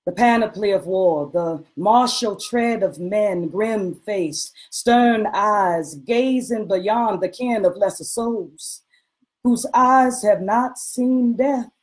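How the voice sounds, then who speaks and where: A woman giving a dramatic poetry recitation in a measured, solemn and impassioned manner. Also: medium pitch, a little mellow, rough recording.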